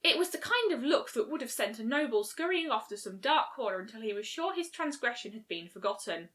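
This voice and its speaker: A woman reads a fan fiction story aloud as narrator. Her voice sits a little high, and she follows the written text in a storytelling style. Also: very animated, fairly quick, fairly bright tone.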